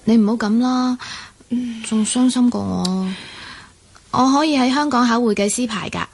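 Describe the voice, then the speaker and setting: Radio drama role: plucky student daughter, Hong Kong Cantonese, fairly high-pitched, gentle, dutiful. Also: female voice, normal speed, creaky, husky. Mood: reassuring. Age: young adult. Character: dutiful, plucky young accountancy student daughter, self-sacrificing.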